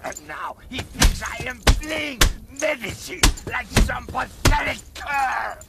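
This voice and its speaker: Gravelly, pompous dictator general. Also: male voice, very high voice, heavy background noise, exaggerated voice. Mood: furious rage. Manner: pompous, deliberate, theatrical, formal phrasing. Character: brutal middle-aged male island dictator general, grandiose, menacing. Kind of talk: dramatic video game cutscene acting. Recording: music behind the voice.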